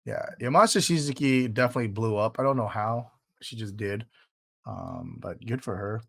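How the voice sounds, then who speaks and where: A man's voice: on the low side, very animated, normal speed, creaky, a bit bright. A man co-hosting a podcast in casual chat, rambling with lots of fillers and dropping "dude" often; his first language was Vietnamese. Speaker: podcast co-host.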